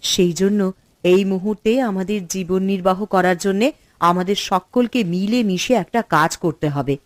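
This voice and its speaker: A woman playing a responsible, earnest eldest sister in a cartoon, speaking in a measured, instructive way. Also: mid-range voice, dry recording, natural voice. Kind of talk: Bengali cartoon story acting.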